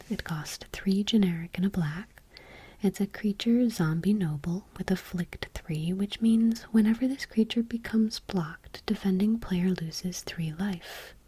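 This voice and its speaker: Woman whispering slow, breathy ASMR. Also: medium pitch, no room sound. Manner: gentle, slow, deliberate. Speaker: ASMR video creator. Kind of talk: soft-spoken ASMR, reading trading cards aloud. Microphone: close microphone, dry.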